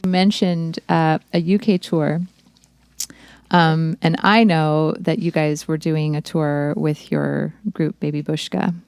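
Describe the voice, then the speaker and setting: Easygoing woman podcast host with a low voice, recorded dry. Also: fairly even intonation, takes it slowly, gravelly, darkish tone, smooth, dry recording. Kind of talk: casual interview.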